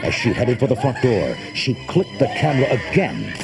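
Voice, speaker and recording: A man's voice: mid-range voice, huge pitch swings, moderate pace. A man reading a children's storybook as narrator, with dramatic, suspenseful delivery. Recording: old cassette tape with music behind.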